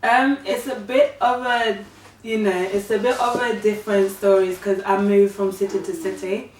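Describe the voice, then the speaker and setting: A woman in her thirties from Uganda, telling her life story in a soft, hesitant way with many fillers. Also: medium pitch, fairly even intonation, normal speed. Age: 30s. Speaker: guest. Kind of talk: casual storytelling. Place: living room, sitting on a sofa. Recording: echoey room, far from mic, faint hiss.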